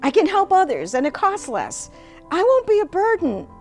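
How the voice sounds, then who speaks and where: A woman's voice: extremely high pitch, huge pitch swings, normal speed, faint music behind, voice pushed up, heavily acted. A woman voicing a blunt, restless mother in her seventies in a dramatic story reading, with abrupt, emphatic declarations. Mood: brisk, decided. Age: 70s.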